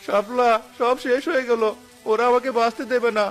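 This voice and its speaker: A man's voice: very high-pitched, steady tone, normal speed. Guy playing a wronged honest young vendor, cartoon drama, earnest n anguished, music under. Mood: despairing. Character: wronged, honest poor young male food-stall owner. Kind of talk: animated cartoon dialogue.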